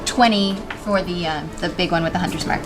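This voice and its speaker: A woman's voice: lowish voice, fairly quick. A woman playing a tabletop game, chatting casually and playfully with the group. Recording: music clearly behind the voice. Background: background music.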